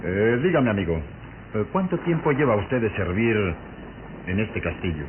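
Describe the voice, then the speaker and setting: Actor as deep-voiced, skeptical police inspector. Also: male voice, expressive, close to an everyday voice. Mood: wary, curious. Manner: deliberate, questioning, thinking aloud. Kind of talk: radio drama acting. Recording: muffled, noisy old radio drama recording.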